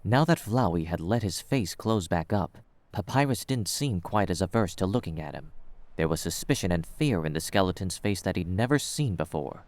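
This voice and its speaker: A man's voice: deep voice, animated, moderate pace, dry recording. A man reading scripted third-person story narration as the narrator of an audio drama.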